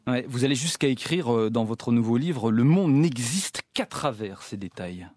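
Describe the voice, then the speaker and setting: A man's voice: medium pitch, lively intonation, normal speed, a little mellow, dry recording, studio quality. A man hosting a radio interview, reading polished, scripted introductions. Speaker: radio host.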